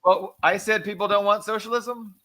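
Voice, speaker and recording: Bright-voiced man, assertive and lecturing. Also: high voice, steady tone, normal speed, slight background noise. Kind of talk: casual political discussion.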